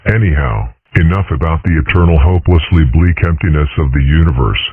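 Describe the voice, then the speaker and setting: A man plays an eccentric dragon father with an extremely low, husky voice. He is courteous and formal, wordy and drily droll, in a cartoon dub with thin, phone-like sound. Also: brisk, no room sound. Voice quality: very deep, husky. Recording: muffled narrow-band phone-like sound, very dry.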